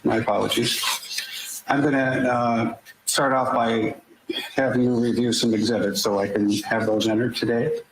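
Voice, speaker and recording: A man's voice: mid-range voice, little pitch movement, normal speed. A man speaking as a defense attorney in court, polite and formal toward the judge. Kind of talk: courtroom address. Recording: echoey courtroom audio with noise.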